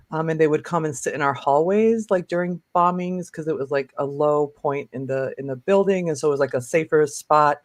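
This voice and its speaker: A woman's voice: deep voice, no echo. Woman of fifty, hesitant casual storyteller, dry sound. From born in Lebanon, raised in Michigan. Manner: hesitant, peppered with "you know" and "like". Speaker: interview guest, filmmaker.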